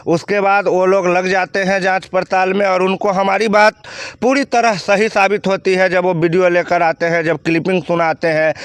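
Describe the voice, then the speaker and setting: A man's voice: high voice, smooth, no echo. A man with a Bihari accent giving blunt, outspoken opinions as a news commentator answering questions.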